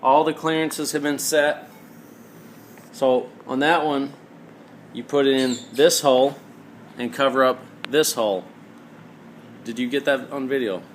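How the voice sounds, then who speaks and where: A man's voice: mid-range voice, steady tone, a little slow, pauses often. A man giving an informal, hands-on mechanical tutorial, explaining step by step in a plainspoken way. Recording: roomy echo, clear background noise.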